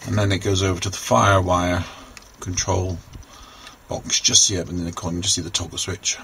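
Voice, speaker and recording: A man giving an informal hands-on technical walkthrough, unscripted and halting as he thinks aloud. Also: deep voice, level tone, sharp tone. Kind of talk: informal hands-on show-and-tell narration. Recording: clearly noisy handheld amateur recording.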